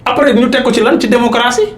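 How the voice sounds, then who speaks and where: A man with a Senegalese accent and a notably high voice argues like a seasoned political commentator. He is emphatic and insistent. The recording has a clear roomy echo around him. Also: quick pace. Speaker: political commentator on a talk show. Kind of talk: argumentative political debate, mixing Wolof and French.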